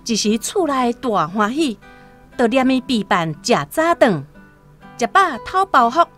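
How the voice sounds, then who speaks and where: A Taiwanese woman narrating a literary radio drama in a storytelling style, over soft music. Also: on the high side, sing-song, a little slow.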